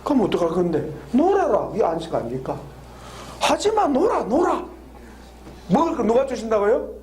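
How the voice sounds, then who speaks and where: A man preaching a lively sermon, laughing often and drawing call-and-response answers from the congregation with frequent questions. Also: very high-pitched, moderate pace, a little hiss or hum. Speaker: pastor. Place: church hall with congregation.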